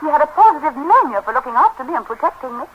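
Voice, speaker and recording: A noisy, muffled 1930s radio recording carries a capricious upper-class English woman. Her very high voice moves in lively swoops, arch and clipped, in the manner of drawing-room comedy. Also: rapid-fire. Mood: wistful.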